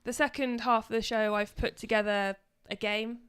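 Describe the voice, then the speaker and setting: A woman co-hosting a casual live stream with her husband, chatty and quick to laugh, often trailing off. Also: on the high side, fairly even intonation, fairly bright tone, clear, resonant tone. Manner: chatty, laughs easily, trails off mid-sentence. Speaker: co-host of a motorcycle channel live stream.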